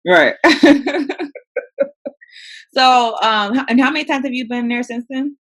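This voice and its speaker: An interviewer asking relaxed questions, with brief affirmations and some fillers. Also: high voice, slow. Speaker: interviewer host.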